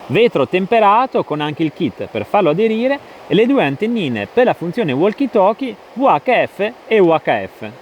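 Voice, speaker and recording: A man's voice: sits high, slight background noise. A man presenting a product review in an enthusiastic, emphatic way.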